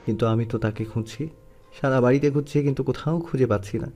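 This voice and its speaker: A man's voice: medium pitch, steady tone, fast, warm tone, close to an everyday voice. A man playing a possessive, teasing, lovestruck young man in an audio story. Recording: music under the voice.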